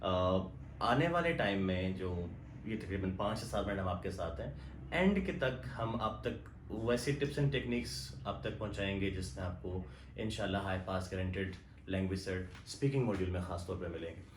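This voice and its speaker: A man with a Pakistani accent hosting a language exam Q&A, explaining in a measured, step-by-step way. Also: deep voice, echoey room, slight background noise, low-quality recording. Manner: mixes Urdu and English, measured explanatory delivery. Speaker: host. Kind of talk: bilingual Q&A on language exams.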